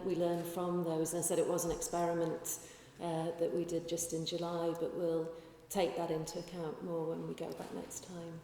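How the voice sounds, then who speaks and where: Woman panelist, mellow and a little low, answers thoughtfully, miked from a distance in a reverberant hall. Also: slight background noise. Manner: thoughtful, with fillers and restarts. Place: large conference hall. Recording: echoey hall from far off.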